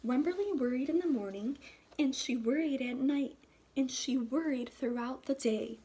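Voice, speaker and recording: A woman's voice: sits high, dark tone. A woman teacher reading a children's storybook aloud expressively, in short phrases for young listeners. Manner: pauses to ask listeners questions. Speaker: kindergarten teacher.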